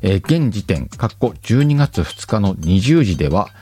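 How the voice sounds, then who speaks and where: A man hosting his own show, reading listener letters in a relaxed, chatty way, laughing often and stumbling over words. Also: lowish voice, normal speed. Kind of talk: casual solo chat.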